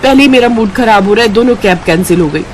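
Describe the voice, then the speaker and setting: A woman's voice: mid-range voice, fast, slight background noise, natural voice. A woman voicing a stubborn, impatient young mother in a cartoon story, speaking insistently. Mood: defiant. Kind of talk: Hindi cartoon story voice acting.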